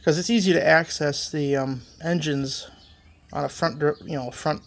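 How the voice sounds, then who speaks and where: Gravelly voice, stuffed-up nose after a cold, rambling mechanic, lots of ums, casual workshop walkthrough. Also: mid-range voice, steady tone, moderate pace. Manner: rambling, self-correcting, many fillers. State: congested from a lingering cold. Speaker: small engine repair channel host. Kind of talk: casual hands-on repair walkthrough.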